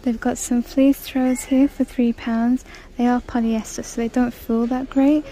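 Female vlogger, flat-ish delivery, reading prices aloud while filming in a store. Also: on the high side, unhurried, low-quality recording. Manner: chatty, reads out prices. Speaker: shopping vlogger. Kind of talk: casual shop-with-me narration. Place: large indoor discount store. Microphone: handheld phone camera.

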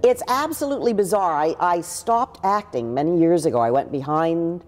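Deep-voiced older woman, animated storyteller. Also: moderate pace, long reverb tail. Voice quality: deep, big voice. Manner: expressive, gestures a lot while talking. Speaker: actress interviewee. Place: living room with a pool table, indoors.

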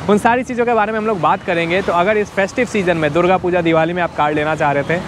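A man's voice: high voice, fairly even intonation, fast. Brief: upbeat male car vlogger at an outdoor lot in rain, speedy delivery, noisy outdoor recording. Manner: upbeat, keeps saying friends and brother to viewers. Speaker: vlogger showing used cars. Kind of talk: casual sales walkthrough.

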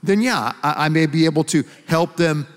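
A man's voice: on the high side, normal speed. A middle-aged man, a pastor and author, explaining earnestly in a stage sermon dialogue on a church stage, using a handheld mic. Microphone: handheld microphone. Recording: long hall reverb.

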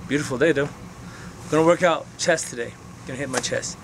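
A man's voice: a little high, brisk, slight background noise, rough recording. Rambling young man vlogging outdoors. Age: 20s. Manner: rambling, frank, swears casually. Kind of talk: casual talk to camera. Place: outdoors on open flat ground. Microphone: camera mic, close framing.

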